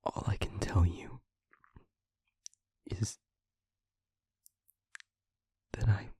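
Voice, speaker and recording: Man acting a hushed, husky, anguished demon lover. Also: lowish voice, no room sound, exaggerated voice. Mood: tender, pleading. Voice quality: breathy, husky, whispery. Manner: halting, hushed, confessional. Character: anguished, guilt-ridden male demon lover confessing truth. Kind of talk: intimate audio roleplay, scripted monologue.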